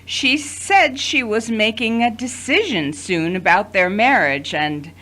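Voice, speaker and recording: A woman's voice: fairly high pitch, animated, takes it slowly, fairly bright tone. A woman acting in an audio drama as a middle-aged mother, motherly and loving with a dry, hesitant manner. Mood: worried. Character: worried, dryly loving middle-aged mother of grown children.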